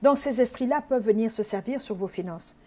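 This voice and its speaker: A woman's voice: on the high side, a little fast, warm tone. A woman from Congo preaching an earnest sermon, teaching with frequent hesitations.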